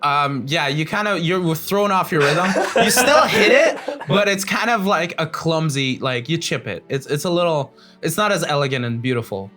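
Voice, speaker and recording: A man's voice: a little high, expressive, normal speed, hardly any pauses, bright, forceful tone, soft background music. A man casually narrating a tabletop roleplaying game as the game master, improvising scene descriptions.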